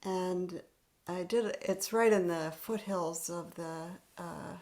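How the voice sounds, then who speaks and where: A woman gently leading a spiritual meditation practice over a video call, speaking softly and often half-whispering. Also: fairly low pitch. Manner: gentle, unhurried, laughs lightly. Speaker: meditation leader.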